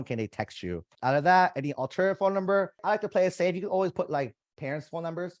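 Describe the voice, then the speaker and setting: A man's voice: medium pitch, a little mellow, no echo. Young guy coaching students through a form, first language vietnamese, chatty, mid voice, dry close sound. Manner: casual, conversational, addresses viewers as "you guys". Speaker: college admissions coach. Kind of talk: screen-share walkthrough tutorial.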